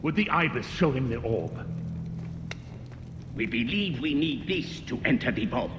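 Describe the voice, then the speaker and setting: An actor playing a cold, impatient villain leader in a dramatic game cutscene. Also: male voice, mid-range voice, lively intonation, unhurried, noisy background, lightly acted. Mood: cold, controlled. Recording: echoey hall, music behind.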